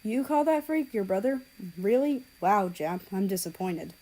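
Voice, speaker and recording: A woman's voice: mid-range voice, lively intonation, normal speed, dark tone, lightly acted, poor audio. A woman voicing a scornful teenage boy in an audiobook story, with a sneering, dismissive manner.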